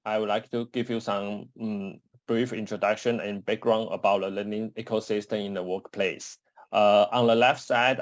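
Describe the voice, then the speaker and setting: Halting man from Taiwan presenting slides. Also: lowish voice, no echo. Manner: halting, many uh fillers and restarts. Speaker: conference presenter. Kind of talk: conference talk over slides. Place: home office or desk, indoors. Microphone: webcam on a video call.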